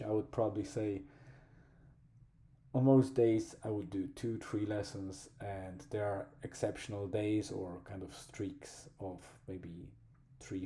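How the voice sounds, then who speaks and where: Man with a Polish accent, vlogger, unhurried, very dark mellow tone, thoughtful pauses. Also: fairly low pitch. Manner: slow, thoughtful, pausing to find words. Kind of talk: reflective personal vlog.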